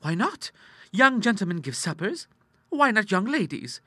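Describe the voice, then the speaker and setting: A gleeful, mischievous young lady , as a male narrator puts her on, pitched high and swooping. She gushes and teases like a bold, girlish storybook heroine. The voice is bright. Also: measured pace, slightly rough, no room sound, full character voice. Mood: playful. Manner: excited, teasing, girlish gushing. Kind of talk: audiobook character acting.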